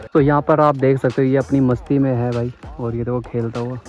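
A man's voice: mid-range voice, fairly even intonation, fairly quick, darkish tone, soft background music. A man vlogging a casual walking tour of a zoo, chatty and enthusiastic, often exclaiming, recorded outdoors on a handheld camera. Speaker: travel vlogger.

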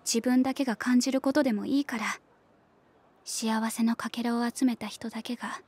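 An actress playing a blunt young woman in a radio drama, a rival friend who speaks in a pointed, chiding way with a husky voice. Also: on the high side, fairly even intonation, moderate pace, darkish tone. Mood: cool, challenging.

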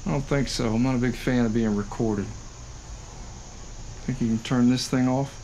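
A man's voice: medium pitch, fairly even intonation, normal speed, warm tone, natural voice, poor audio. A man playing a blunt, skeptical police detective with a sarcastic, accusatory way of talking in a found-footage horror film. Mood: skeptical, stern. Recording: outdoor audio with insect noise.

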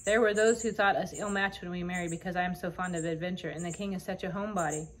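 A woman plays a tiny storybook queen with a taste for adventure. Her voice is light and clear, and she speaks graciously, with regal formality and self-assurance. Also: on the low side, steady tone, fast talker, natural voice. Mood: confiding.

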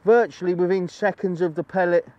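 Higher-pitched man, chatty instructor. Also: fairly even intonation, fairly quick, mellow, dry recording, lo-fi sound. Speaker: angling presenter. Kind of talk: instructional fishing demonstration, chatty. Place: outdoors on a lakeside bank.

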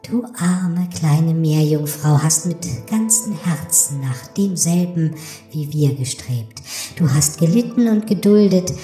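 A woman playing a gentle spirit of the air in a fairy tale audiobook, speaking solemnly with a ghostly echo. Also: deep voice, animated, slow, hardly any pauses. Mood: solemn, consoling. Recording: echoing reverb, soft music behind.

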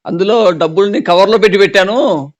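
A man's voice: sits high, a little fast. A man with a Telugu accent giving casual advice on a phone call, interjecting knowingly and repeating phrases. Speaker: phone adviser warning about fraud. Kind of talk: casual phone conversation, advising. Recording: dull lo-fi recorded phone call.

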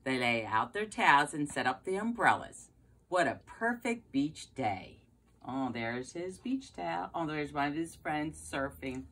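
Slow, lively low-voiced woman reading to children. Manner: exclaiming and asking questions for young children. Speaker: preschool teacher reading aloud. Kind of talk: children's picture-book read-aloud. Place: carpeted basement room at home, indoors.

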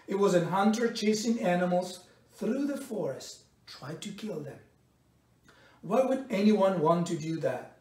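A middle-aged man reads a picture book aloud in a measured, expressive storytelling way, pausing between phrases. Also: sits high. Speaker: storybook reader. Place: indoors in a bright home room by a window. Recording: roomy echo, distant microphone.

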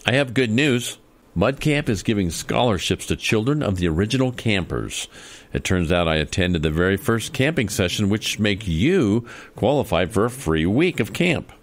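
A man's voice: fairly low pitch, very animated, normal speed. A man playing a cheerful, reassuring father in a comedy radio play. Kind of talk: comedic radio theater acting.

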